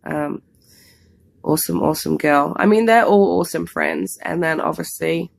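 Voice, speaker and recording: A London woman, hoarse from a cold, talks in a low, gravelly voice. She rambles through a casual story time with lots of fillers. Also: moderate pace. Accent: London English. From England, London. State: losing her voice from a cold. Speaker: vlogger co-host.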